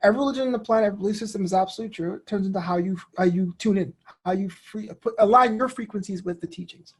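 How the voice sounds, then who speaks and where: Husky-voiced man in casual conversation. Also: high voice, rapid-fire. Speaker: interview guest.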